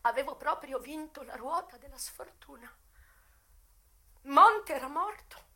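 An actress performing a dramatic radio monologue as a worldly, passionate American madam recalling her youth, expressive and full of pauses. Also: high-pitched, full character voice. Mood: subdued, sorrowful.

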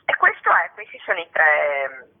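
A woman's voice: deep voice, wildly swooping pitch, moderate pace. Female interview guest, theatre performer, phoned in on a tinny line; unscripted, discursive delivery, frequent rephrasing. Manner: discursive, often rephrasing mid-sentence. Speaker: guest theatre actress and author. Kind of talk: spontaneous radio interview talk. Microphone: telephone. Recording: tinny, narrow-band telephone line.

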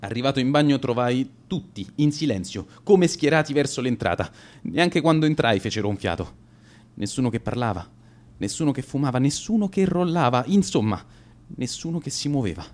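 A man's voice: mid-range voice, lively intonation, a little fast, a little mellow, lightly acted. An actor playing a cowardly teenage schoolboy who boasts as an accidental bully, telling his story in a radio drama monologue with stammered hesitations. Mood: tense, rueful.

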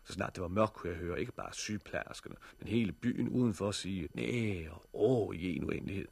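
A man's voice: low voice, animated, vocal fry, a little mellow, breathy edge, no echo, heavily acted. An actor playing an irritable, sceptical man who also narrates in a 1960s radio drama. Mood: reflective. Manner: sceptical, dryly sarcastic. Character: irritable male plant worker and narrator, hospital patient with bandaged eyes.

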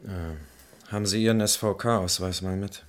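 A man's voice: deep voice, fairly even intonation, measured pace. An actor playing a brusque but well-meaning policeman in a German radio play, probing in an official, paternal way.

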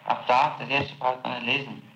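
A man serving as a prosecutor in an interrogation, speaking formally and haltingly with many fillers and tag questions, heard on an old archival tape. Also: mid-range voice, fairly even intonation, gravelly. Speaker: public prosecutor questioning a suspect. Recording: echoey muffled old tape with hiss.

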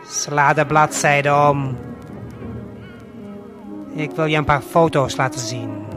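A man with a slightly high voice tells a scripted story straight to the listener. He speaks very slowly in short, measured, hypnotic phrases with deliberate pauses, while music plays clearly behind him. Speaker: narrator and guide of an audio play. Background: prominent music.

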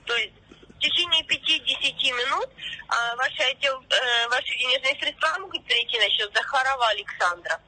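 A woman's voice: high-pitched, animated, a little fast, sharp tone, husky. A woman posing as a bank officer on a phone call, reading a formal call-center script and pressing insistently. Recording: phone line.

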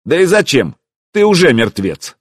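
A man voicing a haughty older enemy prince, shouting imperiously and scornfully in an audiobook. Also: a little high, very animated, moderate pace, slightly rough, no echo, studio quality, voice pushed up.

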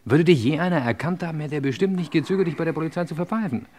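A man's voice: fairly high pitch, racing, darkish tone, lightly acted. An actor playing a chummy, nervously loyal henchman in an old German crime radio play. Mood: protesting, uneasy. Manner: chummy, chuckling, protesting. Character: eager, ingratiating gangster henchman, nervously loyal to his boss.

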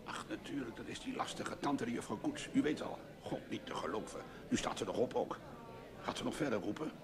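An actor playing a cynical, gossipy audience member muttering wry asides in a radio play. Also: male voice, medium pitch, animated, fairly quick, crowd noise, lightly acted. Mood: scornful. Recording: murmuring hall audience behind.

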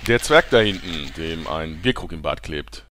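A man's voice: very deep voice, lively intonation, normal speed, a bit bright. A man playing a vain, defensive middle-aged tabloid reporter and conspiracy buff in a parody radio play. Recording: noisy press room with clicking cameras.

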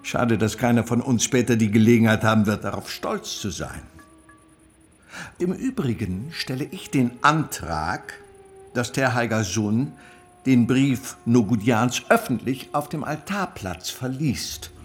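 An actor playing a bitter council member who speaks in a dry, sardonic way in a radio play. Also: male voice, medium pitch, lively intonation, unhurried, faint music behind, close to an everyday voice. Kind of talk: German radio play acting.

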